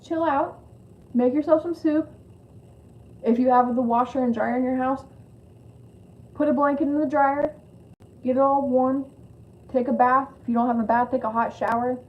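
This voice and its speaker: A woman's voice: high voice, steady tone, moderate pace, long pauses. A woman with a lisp giving a spiritual card reading on a live stream, moving between reading aloud and informal, rambling chat. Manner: informal, rambling asides with swearing. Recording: dull lo-fi audio with clear noise.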